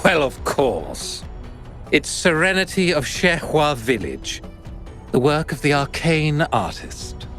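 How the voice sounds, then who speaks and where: An actor playing a smug, slippery art dealer in a video game, with a haughty, drawling, theatrical manner. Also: male voice, fairly high pitch, big pitch swings, slow pace, a bit bright, soft background music, heavily acted.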